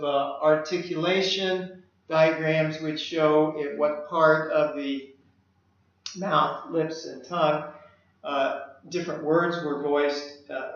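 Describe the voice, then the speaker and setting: A middle-aged man, a language lecturer, walking through slides of his work in a measured way, often pausing on "uh". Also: a little high. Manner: measured, with frequent "uh" fillers. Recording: echoey room, dull lo-fi sound.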